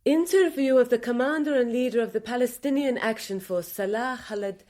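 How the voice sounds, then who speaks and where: A woman's voice: fairly high pitch, fairly quick, a little mellow, lightly acted. A woman playing a cool, formal aide to guerrillas in a radio drama, speaking. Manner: formal, composed. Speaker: actor playing a guerrilla's go-between.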